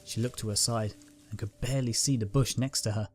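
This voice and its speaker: A man's voice: lowish voice, fairly even intonation, fairly quick, soft background music. A man narrating a dramatic scripted story as its reader.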